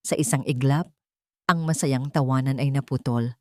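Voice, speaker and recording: A woman narrates a dramatic story. Her voice sits low for a woman, and the sound is dry, with very little room in it. The delivery is scripted storytelling. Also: fairly even intonation, moderate pace, mellow, no echo.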